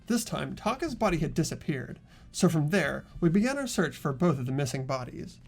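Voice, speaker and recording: Man playing an animated, higher-voiced earnest teenage boy. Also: fairly quick, creaky, faint music behind, exaggerated voice. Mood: earnest, recounting. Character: earnest teenage boy investigator recounting a murder case. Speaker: voice actor in a fan playthrough. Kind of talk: dramatic game dialogue and recap narration.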